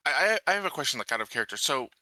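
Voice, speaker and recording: A man's voice: mid-range voice, very animated, racing, sharp tone, no echo. A man chatting casually as a tabletop game player, hesitant and questioning. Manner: hesitant, questioning, restarts to think.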